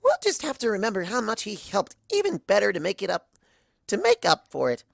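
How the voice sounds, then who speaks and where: A woman voicing an excitable, nosy young female cartoon pony who chatters in gushing, breathless run-on sentences. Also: medium pitch, brisk, vocal fry, no echo, clean studio sound, voice pushed up, full character voice. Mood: sympathetic, upbeat.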